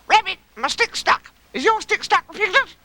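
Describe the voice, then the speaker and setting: A man voicing a small, childish, excitable storybook animal who eagerly fires off questions and exclamations. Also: very high voice, normal speed, no echo, pitched above the performer's own voice, full character voice. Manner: breathless repeated questions and exclamations.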